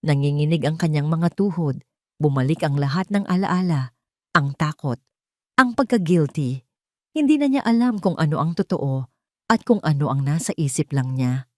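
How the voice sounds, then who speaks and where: Woman reading a dramatic story, lowish voice that swings a lot, dry close sound. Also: animated, moderate pace, dry recording. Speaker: narrator. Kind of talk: dramatic scripted story narration.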